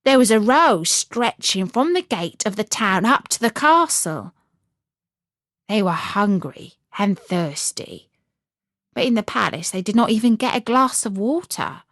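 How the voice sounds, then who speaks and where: A woman narrating a scripted fairy tale as a storyteller, in an expressive, measured storybook manner. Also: medium pitch, dry recording, studio quality.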